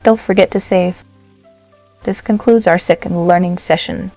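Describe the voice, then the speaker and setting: A woman narrating a software tutorial step by step, in short, simple instructional phrases. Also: lowish voice, fairly even intonation, moderate pace, faint music behind, poor audio.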